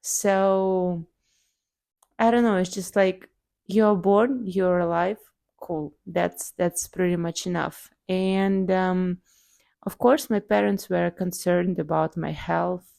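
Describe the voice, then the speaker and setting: Slow-talking Russian woman with a gravelly voice. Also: lowish voice, fairly even intonation, a little mellow, dry recording. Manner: conversational, many fillers like "like" and "um". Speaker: vlogger, psychologist. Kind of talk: casual personal video diary.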